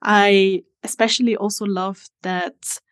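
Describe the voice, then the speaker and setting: A woman's voice: medium pitch, fairly even intonation, slow pace. A woman in her twenties from Italy with an Italian accent, a guest on a podcast, explaining her work step by step with frequent fillers. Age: 20s. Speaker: social media strategist guest. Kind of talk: podcast interview.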